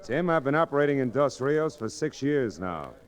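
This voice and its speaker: An actor playing a hard, self-possessed gambling boss in an old Western radio drama, speaking firmly and confrontationally. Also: male voice, mid-range voice, sing-song, moderate pace, natural voice. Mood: threatening. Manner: firm, confrontational.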